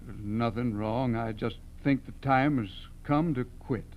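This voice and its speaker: An actor playing a nervous garage owner and reluctant crook in a 1940s radio drama, hesitant and stammering. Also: male voice, mid-range voice, a little slow, narrow, tinny audio, natural voice. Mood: nervous, apologetic. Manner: hesitant, stammering, throat-clearing. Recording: faintly noisy 1940s radio recording.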